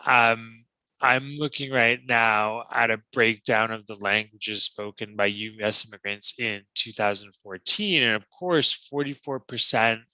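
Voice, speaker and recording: A man's voice: lowish voice, unhurried, bright, forceful tone, no echo, low-quality recording. A man, a guest on an interview podcast, speaking thoughtfully with frequent ums and restarts. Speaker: guest, language teacher.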